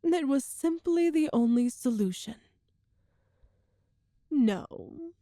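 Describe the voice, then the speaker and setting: A woman playing a cruel, ancient sorceress empress in a scripted audio roleplay, speaking deliberately with grand, condescending superiority. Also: sits high, warm tone, no room sound, studio quality, full character voice. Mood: amused, condescending, then impassioned. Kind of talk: scripted dramatic monologue, ASMR roleplay.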